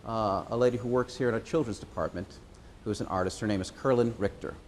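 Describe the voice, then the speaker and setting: A man giving an informal explanation as a puppeteer presenting a show, pausing and hesitating as he goes. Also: on the low side, fairly even intonation, darkish tone, slight background noise.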